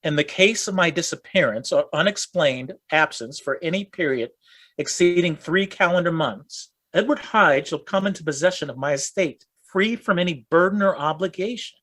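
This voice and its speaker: A man's voice: fairly high pitch, moderate pace, fairly bright tone. A man playing a puzzled, uneasy associate in a Gothic radio play, speaking formally and with some hesitation. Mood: formal, careful.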